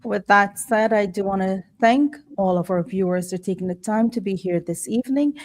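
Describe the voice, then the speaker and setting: A woman's voice: mid-range voice, moderate pace. A woman hosting a discussion show, speaking in a formal presenting style. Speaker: host.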